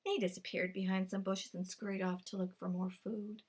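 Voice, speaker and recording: A woman librarian reading a picture book aloud to children, with lively, expressive storytelling. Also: medium pitch, moderate pace, husky.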